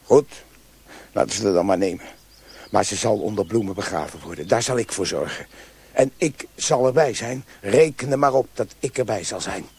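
An actor playing a stubborn, fiercely anticlerical freethinker with a gruff, emphatic manner in a Dutch radio drama. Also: male voice, lowish voice, animated, moderate pace, darkish tone. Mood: defiant.

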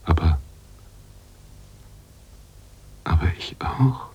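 An actor playing an anguished, philosophical writer-narrator in a literary radio drama, halting and searching, slipping at times toward a whisper. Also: male voice, low-pitched, lively intonation, darkish tone, husky, muffled recording, exaggerated voice. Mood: grieving, resigned. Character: brooding male writer-narrator, anguished, philosophical, mourning his heroine.

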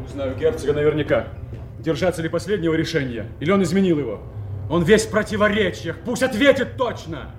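A man's voice: on the high side, animated, brisk, pauses often, faint music behind, close to an everyday voice. An actor playing an ambitious, scheming nobleman in a classical radio drama, with theatrical, declamatory delivery. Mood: commanding, impatient.

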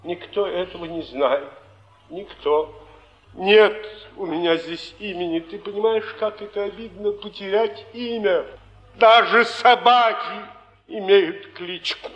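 A man's voice: high voice, takes it slowly, a bit bright, long reverb tail, highs cut off. A male actor playing a broken-down, drunken provincial actor in a declamatory, wistful 1950s Soviet stage style. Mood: wistful, proud. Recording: old theatre stage recording.

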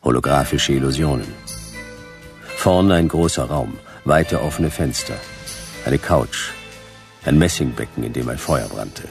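Very deep, gravelly detective. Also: male voice, unhurried, long pauses, soft background music, exaggerated voice. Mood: wry. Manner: terse, dry, deadpan. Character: laconic, hard-boiled male private detective. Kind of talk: noir radio drama narration and dialogue.

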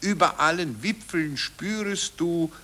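An actor playing a vain, eager Viennese court councillor and amateur war poet in a 1940s German radio play, chatty and self-satisfied. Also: male voice, fairly high pitch, a little slow, pushed and bright. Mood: eager, self-important.

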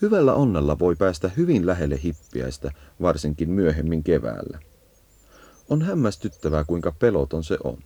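Very deep-voiced man narrating. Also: wildly swooping pitch, mellow, no room sound. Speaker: narrator and nature guide. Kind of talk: scripted educational narration of a birdsong guide.

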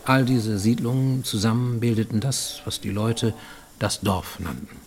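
Haunted, low, creaky middle-aged fugitive. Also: male voice, steady tone, measured pace, a little hiss or hum. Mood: reflective, narrating. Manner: intense, insistent, formal, dropping to near-whispers. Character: haunted middle-aged Japanese fugitive, unlicensed medic in Mexico. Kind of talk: German radio play monologue.

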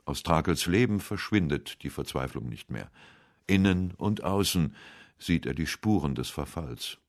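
Male narrator for a literary radio documentary: extremely deep voice, measured and serious delivery. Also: lively intonation.

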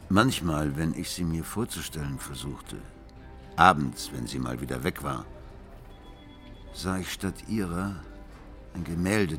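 An actor playing an embittered, betrayed husband who confides his marriage story in a deliberate, brooding way in a radio drama. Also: low voice, a bit bright. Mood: brooding, haunted. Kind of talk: radio drama monologue, intimate narration.

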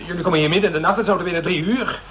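A man's voice: high voice, rapid-fire, a crowd in the background, natural voice. An actor with a Netherlands Dutch accent playing a suspicious, touchy man in a radio drama, hesitant, colloquial and blustering. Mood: indignant, suspicious. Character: suspicious, touchy man receiving late-night visitors. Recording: muffled, noisy radio recording.

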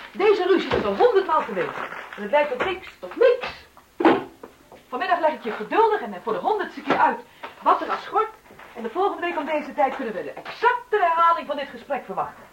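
A middle-aged actress playing an exasperated, sarcastic wife of twenty years in a radio play. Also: female voice, high voice, sing-song, fairly quick. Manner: argumentative, sarcastic, pointed. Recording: noisy old radio play recording.

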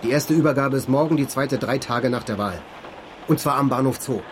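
An actor playing a brash, scheming call-centre worker in a radio crime drama, speaking in a blunt, colloquial, pushy way. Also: male voice, medium pitch, fairly quick, a little hiss or hum, close to an everyday voice. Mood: demanding. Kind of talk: naturalistic radio drama acting.

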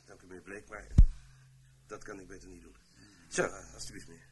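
Bright-voiced deferential junior agent. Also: male voice, low-pitched, fairly even intonation, brisk, soft background music, low-quality recording. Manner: polite, apologetic, precise. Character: deferential, clumsy male junior government agent. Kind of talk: radio drama acting. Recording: old radio play, faint noise.

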